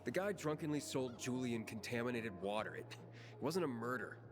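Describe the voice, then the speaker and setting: An actor voicing a young, guarded wanderer who stammers at times, in video game dialogue. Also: male voice, medium pitch, lively intonation, normal speed, natural voice. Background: music.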